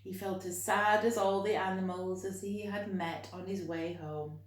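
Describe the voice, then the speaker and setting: A woman teacher reading and talking to young children in an expressive, encouraging way. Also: medium pitch, moderate pace. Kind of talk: storytime read-aloud and teaching for young children. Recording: roomy, echoing home recording.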